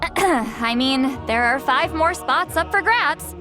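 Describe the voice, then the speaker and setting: A woman playing a gentle, bookish young storyteller in a video game, thoughtful and articulate. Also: high-pitched, animated, slow pace. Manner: articulate, explanatory. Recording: music playing behind.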